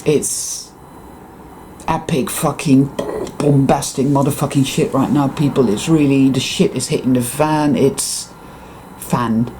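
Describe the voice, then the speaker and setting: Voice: mid-range voice, fairly even intonation, takes it slowly, dark tone, a little hiss or hum. Intense, swearing speaker with a Dutch accent. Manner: intense, profane, emphatic, repeats words for emphasis. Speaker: spiritual vlogger. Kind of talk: impassioned monologue to viewers.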